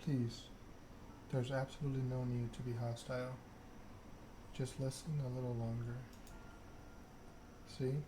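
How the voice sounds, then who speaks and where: A man plays a proud, scheming game vampire, delivering a self-important monologue in ASMR style. The pitch is middling and very level, and clear noise sits behind the voice. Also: flat delivery, a little slow, long pauses, close to an everyday voice. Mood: proud, nostalgic. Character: proud, scheming vampire aristocrat telling their story. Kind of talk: ASMR read-aloud of game dialogue.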